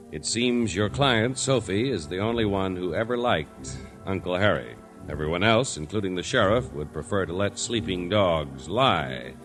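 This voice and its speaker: A man's voice: low voice, fairly bright tone. A man announcing a radio drama with dramatic, scripted 1940s narration. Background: faint music.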